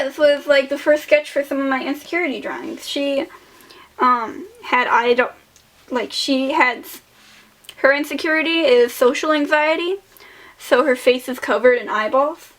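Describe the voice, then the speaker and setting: A young woman in her late teens speaks in a high voice with a noticeable lisp. She rambles casually through a voice-over about her artwork, offhand and self-deprecating. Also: moderate pace, fairly bright tone, low-quality recording. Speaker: artist showing old sketchbooks.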